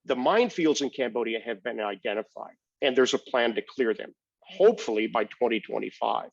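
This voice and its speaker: An older man with an American accent gives a prepared personal account in a measured, explanatory way, as a guest speaker. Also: medium pitch, lively intonation, vocal fry, slightly rough, no echo, lo-fi sound. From United States. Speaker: humanitarian aid worker, guest speaker.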